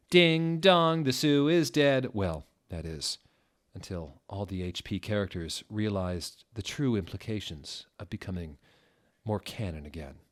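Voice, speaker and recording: A man with a low voice reads a story aloud in a mock-dramatic style. He swings between dry, deadpan delivery and sudden theatrical outbursts. The reading sounds staged on purpose. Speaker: dramatic reader.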